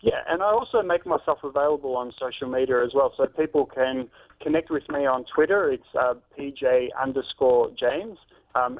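A man in his thirties, a fitness author and trainer, talking earnestly in a phone-in interview with many ums and restarts. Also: mid-range voice. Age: 30s. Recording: tinny phone line.

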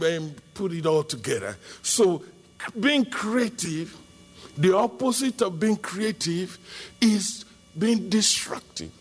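High, animated Nigerian pastor preaching. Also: male voice. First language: Yoruba. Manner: emphatic preaching, bursts into shouting. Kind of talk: sermon.

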